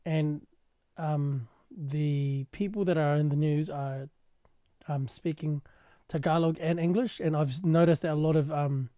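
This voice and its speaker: A man translating and explaining a news clip in a casual, halting way with many pauses. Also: fairly high pitch, fairly even intonation, mellow, dry recording, clean studio sound. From New Zealand. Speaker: translator and commentator.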